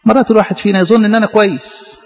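A high-voiced man with an Egyptian accent delivers a quick sermon, throwing out repeated questions to the congregation. The recording is muffled and rings with the long reverb of a big hall. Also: steady tone. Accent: Egyptian Arabic. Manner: questioning, repetitive. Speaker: preacher.

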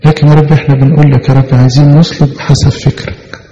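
A man's voice: on the high side, little pitch movement, racing, mellow, soft background music. A man with an Egyptian accent leading a fervent, extemporaneous prayer in a church, pleading earnestly and repeating his appeals to God. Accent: Egyptian Arabic. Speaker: prayer leader. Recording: long hall reverb, dull lo-fi sound.

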